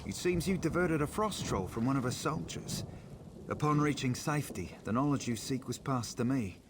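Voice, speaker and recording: An actor playing a calm, formal, reasonable leader of pilgrims in scripted fantasy game dialogue. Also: male voice, medium pitch, normal speed, noisy background, lightly acted. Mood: calm, measured.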